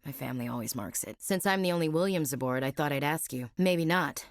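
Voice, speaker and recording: A woman's voice: low-pitched, expressive, fairly quick, no room sound, studio quality. An actress voicing a frank, wry young woman soldier in a video game, plain-spoken and devout. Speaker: marine gunnery chief. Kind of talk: video game character dialogue.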